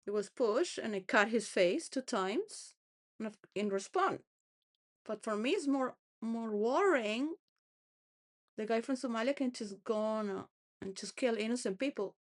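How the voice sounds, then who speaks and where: A woman rambling through a personal monologue, full of restarts and wandering thoughts, sometimes laughing between phrases. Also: a little high. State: homeless.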